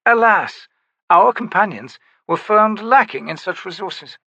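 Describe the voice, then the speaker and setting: A cold, courtly bearded sorcerer with an odd foreign accent, as a male narrator puts him on talks in a high, polished, smoothly polite manner. Each phrase is precise and detached, recorded dry and close. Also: expressive, unhurried, sharp tone, dry recording, dull audio, exaggerated voice. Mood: cool polite. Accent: strange foreign accent.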